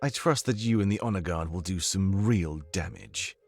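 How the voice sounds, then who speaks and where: A man's voice: sits low, moderate pace. A man voicing a stern, scheming master who cautions his apprentice in a visual novel. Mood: reflective, trusting. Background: game music.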